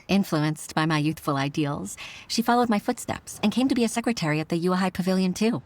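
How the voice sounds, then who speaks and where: Woman acting gentle mother and secretary, anime game, lowish voice, courteous, sincere, faint noise. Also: lively intonation, fairly quick, close to an everyday voice. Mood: reflective, hopeful. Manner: polite, hesitant, heartfelt.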